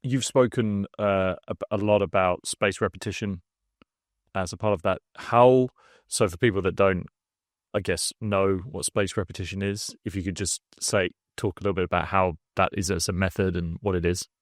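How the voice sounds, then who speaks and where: A man hosting an interview, asking a question in a halting way while searching for words. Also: low-pitched, fairly even intonation, dry recording, clean studio sound. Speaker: podcast host.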